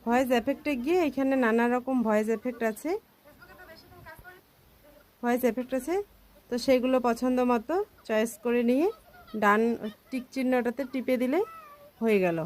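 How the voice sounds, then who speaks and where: A woman's voice: fairly high pitch, fairly even intonation, moderate pace, pauses often, darkish tone. A woman talking casually as a vlogger, explaining things in a tutorial style. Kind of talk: casual tutorial and vlog talk.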